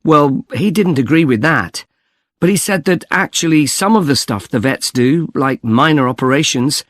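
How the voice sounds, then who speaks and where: A man's voice: a little high, lively intonation, moderate pace, no room sound, lightly acted. A man playing an earnest young student in a scripted listening-test dialogue. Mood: earnest, chatty. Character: earnest young male student on farm placement.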